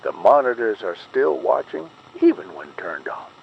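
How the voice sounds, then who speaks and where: Man, low voice, ominous official narrator, analog horror advisory, deliberate, dull lo-fi sound with faint noise. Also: sing-song, gravelly. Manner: grave, ominous, deliberate official announcer delivery.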